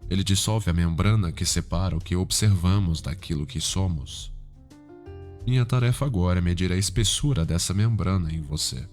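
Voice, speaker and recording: Man playing low-voiced, sinister cultured psychiatrist, Brazilian accent. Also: close to an everyday voice. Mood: calm, probing. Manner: formal, measured, erudite, softly probing. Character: cultured, calm, sinister male psychiatrist mentoring a trainee. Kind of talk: ASMR roleplay. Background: background music.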